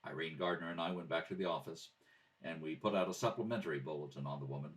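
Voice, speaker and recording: A man reading scripted police procedural narration in a clipped, matter-of-fact, deadpan way. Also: rumbling low, quick pace. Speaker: amateur radio theatre reader. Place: at a kitchen table. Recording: slightly distant home microphone.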